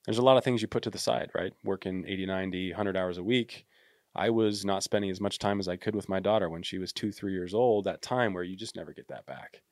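A man's voice: low-pitched, fast, a little mellow, no echo, studio quality. A man hosting a podcast, talking conversationally and often ending points with tag questions. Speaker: podcast host. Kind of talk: casual interview talk.

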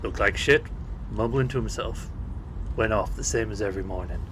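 A man's voice: fairly low pitch, normal speed, a bit bright, slight background noise, close to an everyday voice, low-quality recording. A man playing an indifferent, sarcastic neighbour in an audio drama, answering curtly and dismissively. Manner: curt, dismissive.

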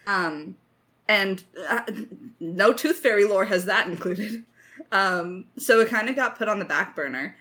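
A woman's voice: mid-range voice, unhurried, sharp tone. A woman telling stories casually as a writer in a creator Q&A, with playful mock-dramatic emphasis. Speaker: lead writer of an audio drama.

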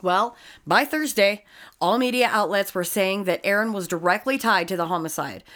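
A woman's voice: medium pitch, moderate pace, a bit bright. A woman hosting a casual true-crime podcast, telling the case with sarcastic, swearing asides. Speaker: podcast host narrating the case.

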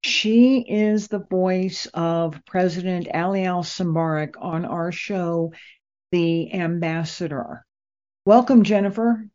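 Woman doing an interview, really slow careful talker, lots of pauses, kinda muffled audio. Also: lowish voice, a little mellow. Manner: deliberate, pausing between phrases. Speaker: interviewer, radio drama maker. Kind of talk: unhurried interview talk. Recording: dull, lo-fi sound.

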